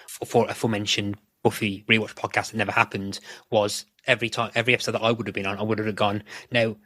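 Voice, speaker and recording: A man's voice: lowish voice, level tone, fast, bright, forceful tone, dry recording. A man co-hosting a podcast in casual discussion, rambling and often correcting himself as he goes. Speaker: podcast co-host.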